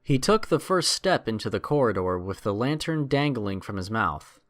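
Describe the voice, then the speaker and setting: A man's voice: fairly low pitch, very animated, normal speed, a bit bright. A man narrating a scripted adventure story in a dramatic storytelling style.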